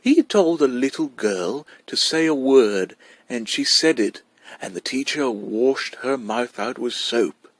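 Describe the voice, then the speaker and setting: A man voicing a cheeky, excitable kindergarten boy in a storybook reading, tattling with emphasis. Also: mid-range voice, takes it slowly, dry recording. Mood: awed, excited.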